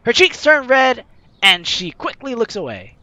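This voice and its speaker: A gaming video host reading a visual novel aloud in an animated, theatrical way, adding playful commentary, with game music behind. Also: mid-range voice, measured pace, highs cut off. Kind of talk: let's play commentary with dramatized reading of game text.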